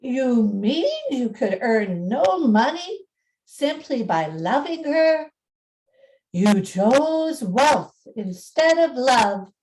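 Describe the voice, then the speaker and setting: Woman acting gentle spirit, high voice, unhurried, probing, declamatory, radio play. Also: big pitch swings, full character voice, poor audio.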